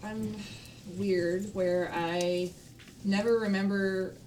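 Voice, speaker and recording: A woman's voice: medium pitch, steady tone, slow pace. A woman chatting casually and candidly about herself. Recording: slightly distant mic, faint background noise.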